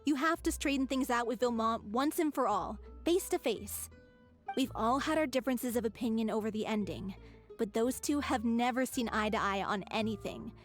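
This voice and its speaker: A woman's voice: fairly high pitch, moderate pace, soft background music, natural voice. An actress playing a level-headed young female troupe member in a game, talking in a firm, persuasive way.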